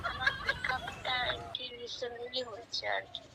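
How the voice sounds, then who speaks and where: A woman's voice: high-pitched, wildly swooping pitch, moderate pace, creaky, very noisy. A young woman, chatting casually on a phone call in a guarded, blunt way. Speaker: prank call recipient.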